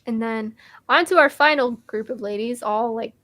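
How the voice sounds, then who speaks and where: A woman's voice: on the high side, moderate pace, a bit bright, no echo. A young woman co-hosting a casual music review chat, enthusiastic and gushing, talking with lots of likes and restarts while losing her voice.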